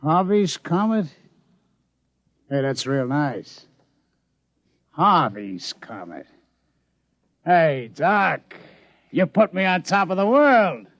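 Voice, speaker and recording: Shady casino boss, old radio comedy, slangy tough talk, highish creaky voice, swoops around a lot. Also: male voice, very animated, extremely slow, long pauses, a bit bright, no room sound, lo-fi sound, exaggerated voice. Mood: delighted. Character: brash male gambling-house boss, shady tough guy, easily excited. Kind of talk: mid-century radio comedy acting.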